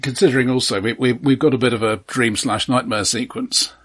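A man co-hosting a film podcast, casually explaining things in a halting, conversational way. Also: mid-range voice, a bit bright, slightly rough.

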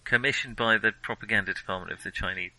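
Man, film podcast co-host. Sharp, very bright low voice, dry sound, casual and opinionated delivery. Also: quick pace, rough recording. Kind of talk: casual chat.

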